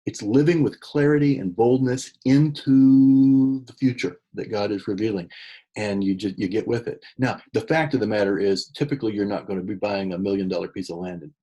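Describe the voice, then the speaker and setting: A middle-aged man speaks in a low, dark and mellow voice with a husky edge. He talks without a script about church work, stopping often for fillers and restarting sentences. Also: steady tone, highs cut off. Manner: halting, with many fillers and restarts. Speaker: church consultant and author. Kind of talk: unscripted talk on church ministry.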